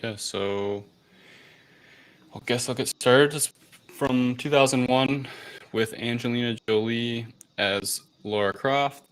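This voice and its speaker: A man's voice: medium pitch, slow pace, pauses often, clear, resonant tone. A man co-hosting a casual movie review chat, rambling informally through a plot recap and sometimes swearing. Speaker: podcast co-host.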